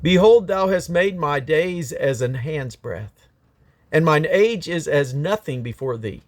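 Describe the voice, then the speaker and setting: A man's voice: a little high, expressive, measured pace, no room sound. Man solemnly reading scripture aloud, dry sound. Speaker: Bible reader.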